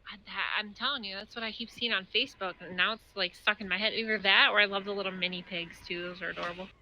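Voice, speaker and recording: A woman's voice: mid-range voice, steady tone, fast, bright, forceful tone, dull audio, a little hiss or hum. A woman chatting casually with other players during a roleplay game, easygoing and full of "like" fillers, a little stuffy from allergies. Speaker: roleplay streamer. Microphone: headset or desk mic.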